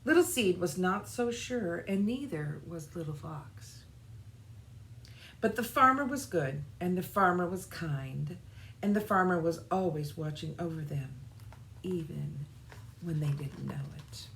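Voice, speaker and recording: A woman reading a children's storybook aloud in an expressive, deliberate way, as scripted narration. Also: fairly low pitch, gravelly. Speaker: children's storybook reader, church music director. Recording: roomy echo, faint background noise.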